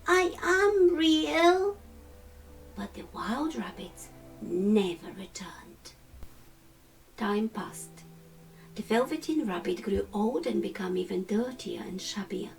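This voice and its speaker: A woman reading a children's storybook expressively, measured, with hushed phrase endings. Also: medium pitch, lively intonation, creaky, recorded from a distance, soft background music, low-quality recording. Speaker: storytime reader.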